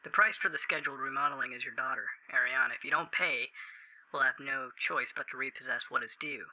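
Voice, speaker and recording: A man's voice: mid-range voice, quick pace, pushed and bright, low-quality recording. A man playing an eerily courteous, inhuman corporate phone operator in a creepypasta audio drama, heard through a phone filter. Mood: coldly official. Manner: scripted, formal, coldly courteous customer-service patter.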